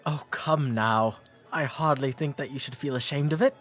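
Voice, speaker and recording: A man acts out a shy, courteous nineteenth-century gentleman with an English accent for a romantic roleplay drama. His pitch is average and his diction is formal and old-fashioned, delivered with gentle hesitancy. Soft music plays faintly behind him. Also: normal speed, lightly acted. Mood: apologetic, gently teasing.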